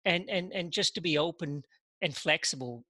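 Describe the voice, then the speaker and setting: A man, a podcast guest telling his story in casual interview talk, halting and full of "you know" and repeated words. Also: fairly high pitch, pushed and bright, no echo, studio quality. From England.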